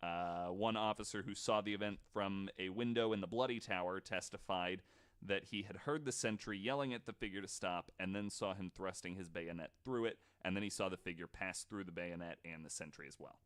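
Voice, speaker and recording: Low-voiced man telling stories casually. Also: brisk, a bit bright, smooth. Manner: informal, swearing. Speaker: podcast host. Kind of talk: casual storytelling from notes with asides.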